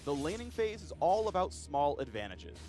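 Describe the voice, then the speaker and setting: Man, fairly high voice, very lively intonation, playful scripted game guide, faint music underneath. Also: moderate pace. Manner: playful, pun-laden delivery. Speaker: game character guide narrator. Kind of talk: scripted, punchy promotional gameplay narration.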